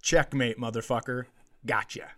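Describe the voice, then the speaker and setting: A man's voice: mid-range voice, steady tone, moderate pace, fairly bright tone. A middle-aged man casually telling a funny story, swearing freely and acting out the dialogue as he goes. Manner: crude, swearing, acts out dialogue. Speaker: podcast host, comedian. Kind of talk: casual comedic anecdote telling.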